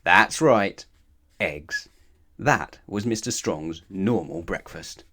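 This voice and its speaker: Very animated deep-voiced male storyteller. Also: slow pace. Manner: animated, asks the listener questions, emphatic repetition. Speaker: children's storybook reader. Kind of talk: expressive read-aloud storytelling for children.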